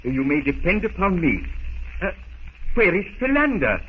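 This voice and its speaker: An actor playing a fussy, dithering gentleman scholar in a 1930s radio serial, hesitant and formal. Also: sits high, sing-song, moderate pace. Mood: fussy, unsettled. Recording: dull, faintly noisy 1930s radio recording.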